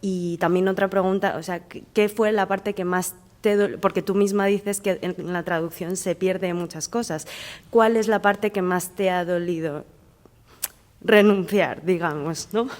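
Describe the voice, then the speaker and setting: A woman's voice: lowish voice, steady tone, a little slow, darkish tone. Hesitant woman, native Chinese speaker. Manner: hesitant, many fillers. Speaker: audience member. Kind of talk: asking questions at a talk.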